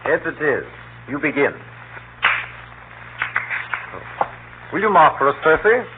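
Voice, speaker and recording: An actor playing an eager, courteous young gentleman sportsman with a bouncy manner, in 1930s radio drama style. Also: on the high side, big pitch swings, brisk. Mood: sporting. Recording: noisy, tinny 1930s radio broadcast.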